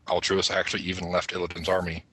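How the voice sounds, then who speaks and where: A man's voice: low voice, monotone, fast, pushed and bright, husky. A man on a podcast panel, chatting casually and informally. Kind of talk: casual chat.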